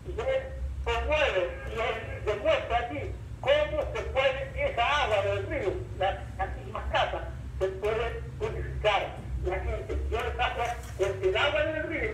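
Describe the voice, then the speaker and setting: An older man with a Venezuelan accent making an impromptu public comment in a halting, insistent, repetitive way. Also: extremely high pitch, fairly bright tone. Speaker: audience participant, veteran waterworks worker. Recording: echoey room, distant mic, faint noise.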